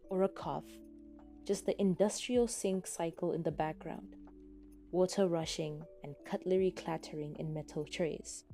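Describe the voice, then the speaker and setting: A woman reading scripted fan fiction as a dramatic narrator, with expressive, deliberate phrasing. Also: on the low side.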